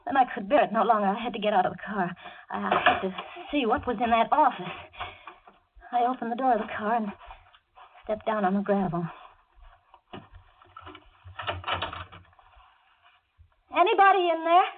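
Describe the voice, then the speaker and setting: A scared young woman trapped in a radio thriller. Her high voice is tense and melodramatic, faltering with fear. The old 1940s recording is thin and band-limited, with clear noise. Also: lively intonation, a little fast, narrow, tinny audio. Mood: panicked. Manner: tense, melodramatic, stammering when frightened. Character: frightened young woman motorist, anxious, trapped, narrating her ordeal. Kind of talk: 1940s radio thriller acting, suspenseful first-person narration.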